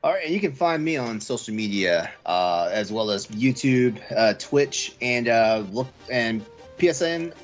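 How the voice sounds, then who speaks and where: A man hosts a casual late-night comedy podcast in a medium-pitched voice. He talks in a joking, conversational way, with laughter running through his speech. Music plays behind him. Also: lively intonation, measured pace, hardly any pauses, lo-fi sound. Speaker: podcast host.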